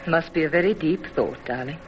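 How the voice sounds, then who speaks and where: An actress playing an affectionate, anxious young sweetheart with a tender, theatrical old radio drama delivery. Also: female voice, low-pitched, a little fast, close to an everyday voice. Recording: muffled, faintly noisy old radio.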